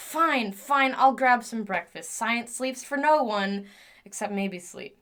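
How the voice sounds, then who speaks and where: A woman playing an excitable, scheming inventor in an audio drama, theatrically talking to herself as her moods swing. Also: fairly high pitch, slow. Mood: chatty, exasperated.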